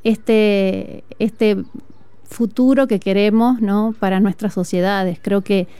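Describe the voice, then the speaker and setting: An Argentine woman, a music teacher, speaks in an earnest, reflective way and pauses often on "eh." Her voice is mellow and smooth. The sound is dry and close. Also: medium pitch, steady tone, no room sound. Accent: Argentine Spanish. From Tucumán, northern Argentina. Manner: earnest and reflective, with frequent "eh" fillers. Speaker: music teacher and flutist, guest. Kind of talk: conversational interview. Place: outdoor school courtyard.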